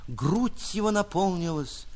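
A man narrating a literary short story like a stage actor, theatrical, with drawn-out emphasis and dramatic pauses. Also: high voice, expressive, a little mellow.

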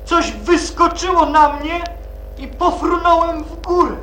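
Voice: extremely high pitch, unhurried, fairly bright tone, slight background noise. An actor voicing a small, frightened, dazed animal in a shaky, bewildered manner for a children's radio play, on an echoey recording. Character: small, frightened, dazed creature flung up a chimney.

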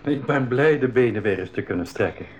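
A man's voice: mid-range voice, animated, brisk, muffled recording, slight background noise, lightly acted. A man acting a curious, cautious young brother in a 1980s school radio drama, with a questioning, slightly hesitant delivery.

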